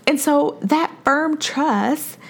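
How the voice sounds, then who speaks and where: A woman hosting her own podcast as a mom therapist and coach, talking in a soft, calm, friendly way. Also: high voice, very slow, vocal fry. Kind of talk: solo podcast monologue.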